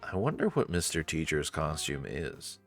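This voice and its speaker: A man with a very low voice reads visual novel narration. He drops in casual asides as he goes, and light music plays behind him. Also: very animated, moderate pace. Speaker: narrator and commentator.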